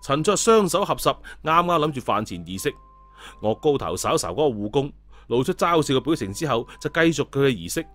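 A man with a Cantonese accent narrating a story in a lively, colloquial scripted style. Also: medium pitch, fairly quick. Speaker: audiobook storyteller. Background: background music.

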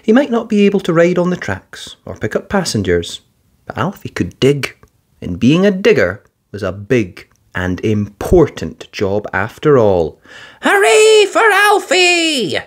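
A narrator reading a children's picture book aloud in an expressive storytelling style. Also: medium pitch, a little slow.

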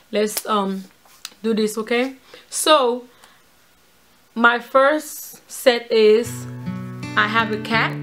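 Young woman co-host, chatty, filler-heavy, higher-pitched voice, guitar music under her. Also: a bit bright. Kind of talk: casual couple challenge video. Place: small indoor room.

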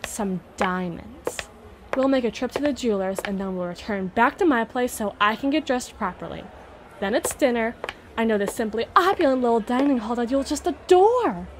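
A woman's voice: a little high, animated, background noise, lightly acted. A woman playing a rich, possessive young heiress who is condescending and doting, with a haughty, teasing manner, in an ASMR roleplay. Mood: gushing. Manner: haughty, teasing, drawn-out words. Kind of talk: ASMR roleplay, scripted monologue.

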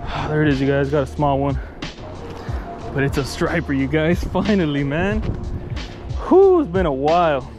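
A man vlogging while fishing on a canal bank, excited and repeating himself, filmed on a head-mounted camera. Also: on the high side, normal speed, long pauses, music in the background. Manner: excited, repeats "you guys", laughs often. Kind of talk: casual vlog commentary. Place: outdoors on a concrete aqueduct bank. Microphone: head-mounted action camera.

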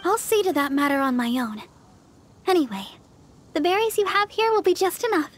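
A woman voicing a sweet, earnest young church deaconess in a video game, speaking politely, gently and hesitantly. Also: very high-pitched, big pitch swings, brisk, a little hiss or hum. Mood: apologetic. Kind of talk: video game character dialogue.

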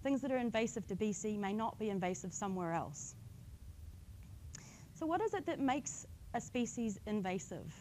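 A woman with a slightly croaky voice giving a friendly public talk on invasive species, explaining plainly with light humour. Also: medium pitch, expressive, moderate pace, darkish tone, slight background noise. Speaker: invasive species outreach coordinator. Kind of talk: public science talk with slides.

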